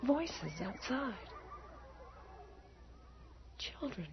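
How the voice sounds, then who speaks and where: An actress playing a fragile, haunted young wife, anxious, halting and apologetic, in an old-time radio drama. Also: female voice, sits high, very animated, exaggerated voice. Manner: halting, distressed. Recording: muffled, noisy old radio recording.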